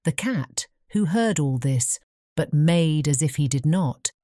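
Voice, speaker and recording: Storybook narrator: British woman, deep mellow voice, dry close recording. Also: animated, slow, no room sound. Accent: British English. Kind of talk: scripted fairy-tale narration.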